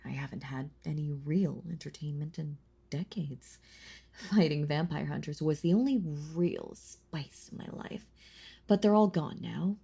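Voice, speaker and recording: An ancient female vampire, played by a woman, speaks in a slow, husky murmur with a creaky edge. She is possessive and condescending, teasing the listener with quiet menace in an intimate audio roleplay, often slipping into whispers. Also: deep voice, full character voice. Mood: wistful, intrigued.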